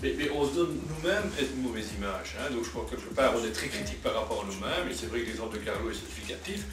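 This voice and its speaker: A man argues his point in a spontaneous political debate, insisting and repeating himself. His mid-pitched voice carries in an echoey room with clear background noise. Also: expressive, fast talker. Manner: argumentative, insistent, repeats phrases. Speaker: local politician in a panel debate. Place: cafeteria. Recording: echoey noisy room, slightly distant.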